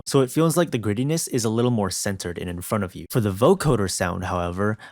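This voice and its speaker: A man's voice: on the low side, darkish tone, dry recording, studio quality. A man presenting a music production tutorial in a casual, explanatory narration style.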